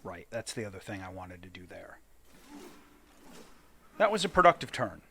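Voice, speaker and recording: A man's voice: low voice, sing-song, moderate pace, pushed and bright, slight background noise. A man giving casual let's play commentary, thinking aloud and deliberating as he hosts a gaming video.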